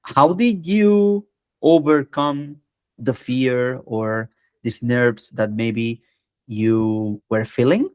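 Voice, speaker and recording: A man's voice: mid-range voice, extremely slow, smooth, no room sound. A man, a language teacher, interviewing a student with slightly non-native phrasing and probing questions.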